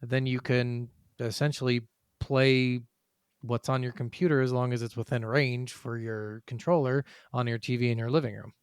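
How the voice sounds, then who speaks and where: A man's voice: mid-range voice, level tone, moderate pace, clean tone, dry recording, clean studio sound. A man hosting a casual gaming podcast, chatting bluntly and swearing freely. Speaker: podcast host.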